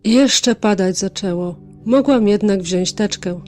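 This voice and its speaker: A woman's voice: medium pitch, slow pace, darkish tone, faint music behind, natural voice. A woman playing an apologetic, fretful taxi passenger in an audio drama. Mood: apologetic, worried. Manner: apologetic, worrying.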